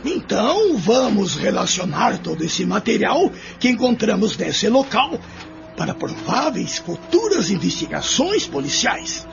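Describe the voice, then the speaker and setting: A male actor voices a high-pitched, inquisitive neighbor in an amateur radio drama. He is deferential and animated, with heavy gravelly creak. Music plays clearly behind him. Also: unhurried, heavily acted. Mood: intent, agreeable. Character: inquisitive rustic male neighbor examining buried finds. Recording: muffled recording with music behind.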